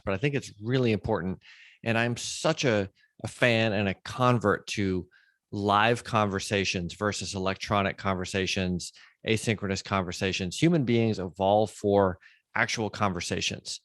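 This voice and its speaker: Low-voiced man hosting, dry close sound. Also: dry recording. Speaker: podcast host. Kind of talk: conversational interview.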